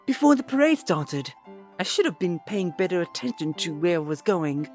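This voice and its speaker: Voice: medium pitch, very animated, moderate pace, darkish tone, faint music behind, natural voice. An actor playing a polite, eager alien host with formal, precise phrasing in an audio drama. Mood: grateful.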